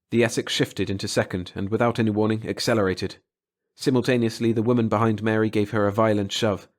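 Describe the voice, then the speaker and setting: A man reading a story aloud as an audiobook narrator, in a dramatic scripted style. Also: on the low side.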